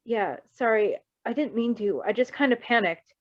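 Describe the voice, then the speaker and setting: A woman's voice: a little high, animated, normal speed. Skittish, apologetic young guy, voiced by a woman, muffled audio. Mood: casual, sheepish. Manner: hesitant, informal, self-effacing. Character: skittish, awkward young male superpowered runaway, apologetic and shyly curious. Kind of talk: amateur fan fiction reading.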